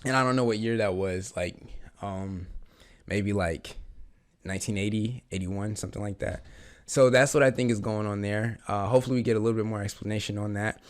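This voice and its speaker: A man with a raspy voice, just getting over the flu, talking through a TV episode in a rambling, eager way with lots of fillers. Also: on the low side, steady tone, fairly quick. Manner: rambling, many fillers and restarts, eager fan. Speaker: reaction channel host. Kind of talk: casual reaction commentary.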